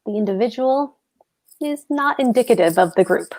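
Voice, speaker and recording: A woman's voice: a little high, expressive, normal speed, darkish tone, poor audio. A woman playing a blustering, self-important German husband in a table read of a stage comedy. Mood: gruffly fond.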